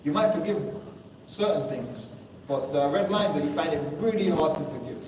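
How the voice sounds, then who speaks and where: A man's voice: fairly high pitch, animated, normal speed, a little mellow, a little hiss or hum. A man giving a sermon to a room of listeners, earnest and full of rhetorical questions, now and then reciting Arabic verses. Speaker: preacher. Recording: echoey hall, distant mic.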